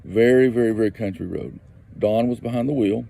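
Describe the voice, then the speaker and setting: Man, gravelly and dark, very slow, faint noise behind. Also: lowish voice. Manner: slow and deliberate, repeats for emphasis. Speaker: local neighbor telling a story. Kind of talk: storytelling on a podcast clip.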